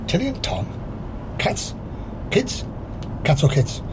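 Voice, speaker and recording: A man's voice: on the high side, huge pitch swings, slow, background noise, exaggerated voice. A man with a Welsh accent playing a jokey, rambling police officer in a radio comedy. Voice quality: chirpy, teasing, pleased with his own puns. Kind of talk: radio sitcom acting.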